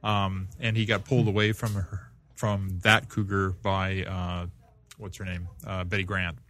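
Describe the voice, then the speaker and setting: A man's voice: sits low, little pitch movement, measured pace, fairly bright tone. A man chatting casually as a panelist on a comics podcast.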